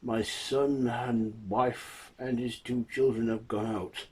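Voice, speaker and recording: Storybook grandfather, a man acting: old, lonely and gentle, higher husky voice, earnest and kind. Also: fairly even intonation, slow. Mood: anxious. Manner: kindly, earnest.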